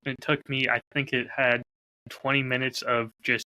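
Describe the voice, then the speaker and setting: A man's voice: medium pitch, little pitch movement, normal speed, sharp tone, rough recording. A man in casual podcast conversation, talking as a host in an upbeat, enthusiastic way about his own work. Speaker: podcast host and audio drama creator.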